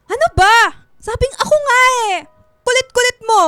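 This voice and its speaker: Radio drama girlfriend, played by a woman: young, Filipino, theatrical and clingy, extremely high and piercingly bright, music underneath. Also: moderate pace, no echo, studio quality. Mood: sulky, whiny. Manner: theatrical, whiny, exclamatory. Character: giddy, clingy young girlfriend, playfully melodramatic.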